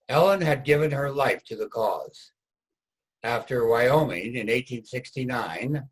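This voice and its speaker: A man's voice: medium pitch, husky, poor audio. A man reading scripted historical narration for a reader's theater production.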